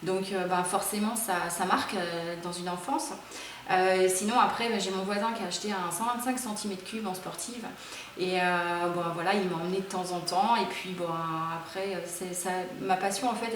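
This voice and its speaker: A woman's voice: lowish voice, a little hiss or hum. A woman in her forties from France, a motorcyclist chatting through interview answers with many fillers. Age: 40s. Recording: roomy, distant mic.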